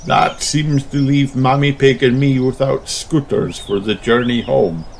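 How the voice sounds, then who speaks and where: A man's voice: medium pitch, a little slow, gravelly, background noise, pitched above the performer's own voice. A man voicing a jolly, playful daddy pig in a children's storybook, hearty and drawing out his phrases.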